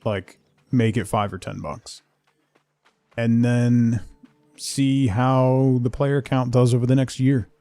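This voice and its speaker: A man hosting a game livestream, rambling casually and haltingly as he thinks aloud and replies to viewers. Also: medium pitch, fairly even intonation, warm tone, dry recording. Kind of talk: casual chat while playing, answering viewers.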